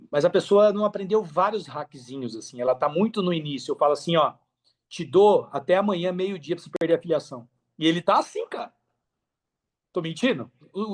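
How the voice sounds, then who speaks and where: Higher-pitched Brazilian man, colloquial podcast guest. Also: husky. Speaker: podcast guest, affiliate marketer. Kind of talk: casual podcast conversation, giving advice.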